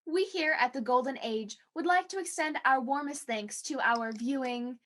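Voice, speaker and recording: A woman playing an excitable 1940s radio co-host with a theatrical, exclamatory delivery. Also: sits high, normal speed. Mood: bright, gracious.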